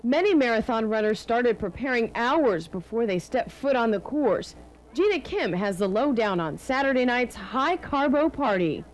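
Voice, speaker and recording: A woman's voice: fairly high pitch, expressive, moderate pace, rough recording. A woman working as a TV news reporter, reading a scripted narration.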